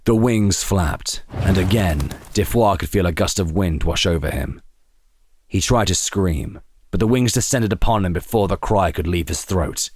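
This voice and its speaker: Male narrator for dramatic audio fiction. Deep register, raspy edge, deliberate suspense, dry studio-style sound. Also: darkish tone, no room sound. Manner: measured, suspenseful storytelling.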